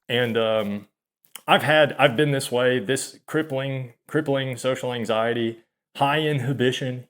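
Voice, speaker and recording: A man in his mid-thirties speaks to his channel in an unhurried, drawn-out way, pausing often and circling back on his own words. His voice sits in an ordinary middle pitch, quiet and self-deprecating, as he works through each thought. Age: 30s. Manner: halting and hesitant, many fillers and restarts. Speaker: channel host. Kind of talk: confessional monologue to camera.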